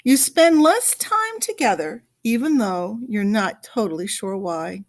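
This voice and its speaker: Female storybook reader: unhurried delivery, very lively intonation, dramatic pauses, stretched key words for young listeners. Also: mid-range voice. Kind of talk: expressive read-aloud of a picture book.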